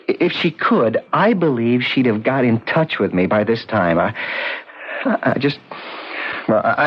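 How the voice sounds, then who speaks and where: Troubled, halting husband, expressive voice. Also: male voice, medium pitch, breathy edge, exaggerated voice. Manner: halting, hesitant, earnest. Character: troubled, grieving husband, hesitant yet stubborn. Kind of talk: 1970s radio drama acting. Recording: dull old radio drama recording.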